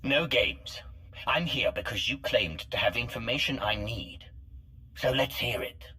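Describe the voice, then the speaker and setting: A man's voice: mid-range voice, unhurried, sharp tone. A man voicing a wary, blunt hero in a video game, with a dry, questioning manner. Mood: wary, challenging. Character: wary, blunt male galactic hero, commanding and skeptical. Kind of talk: dramatic video game acting.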